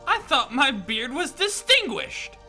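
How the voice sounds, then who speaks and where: A man's voice: very high voice, wildly swooping pitch, unhurried, bright, forceful tone, faint music behind, exaggerated voice. A man playing a put-upon podcast narrator, delivering scripted narration. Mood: wounded, indignant. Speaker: narrator reading credits.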